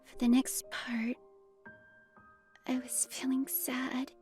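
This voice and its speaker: Horror game ghost child: high, slow, hushed, absent-minded and wistful, music beneath. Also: female voice, monotone, whispered. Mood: dreamy, sad. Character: wistful, lonely ghost of a little girl. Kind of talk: visual novel game voice acting.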